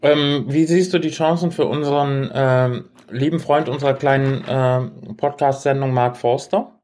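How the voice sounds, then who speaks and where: A man's voice: medium pitch, fairly even intonation, moderate pace, a little mellow. A man co-hosting a podcast in casual chat, with a teasing, ironic way of talking. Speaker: podcast co-host.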